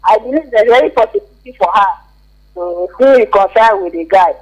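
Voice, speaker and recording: A Nigerian man speaks over the telephone to a radio show. His voice is notably high for a man. He gives his opinion informally, hesitating and repeating himself as he goes. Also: a little fast, poor audio. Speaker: phone-in caller. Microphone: telephone call to a radio show.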